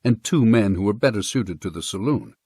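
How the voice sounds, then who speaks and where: A man narrating a western story as an audiobook, in a measured, expressive storytelling manner. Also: on the low side.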